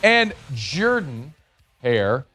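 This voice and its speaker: A man hosts a sports talk radio show from a studio microphone, needling his co-host with playful, teasing questions. His voice swings widely up and down as he talks, at a middling pitch. Faint music sits underneath. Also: sing-song, sharp tone. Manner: teasing, needling, quick back-and-forth.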